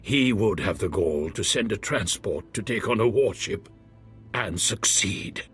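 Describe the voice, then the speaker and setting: A man playing a wounded defecting military general, weary and fatalistic, with a husky, strained voice in a science fiction game. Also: lowish voice, animated, measured pace, a bit bright, faint music behind. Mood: bitter resignation.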